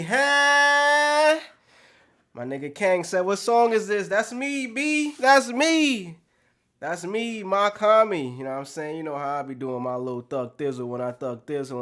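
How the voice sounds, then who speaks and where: A man hosting a podcast livestream in a room with plants, talking casual and profane, loudly hyping up his chat with slang and shouted greetings. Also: high-pitched.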